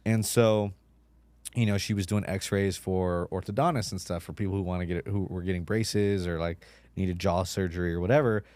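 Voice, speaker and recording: A man's voice: low-pitched, steady tone, normal speed, darkish tone, smooth, dry recording. A man in his thirties, a podcast guest whose first language is Spanish, talking casually with lots of likes and you-knows. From United States, Mexican immigrant mother.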